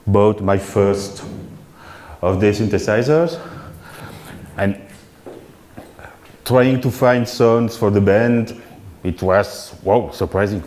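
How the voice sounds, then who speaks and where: A man, giving a live keynote talk at a synth meetup in a relaxed, self-deprecating way with frequent restarts. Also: lowish voice. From Belgium. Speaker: keynote speaker. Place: event venue before an audience.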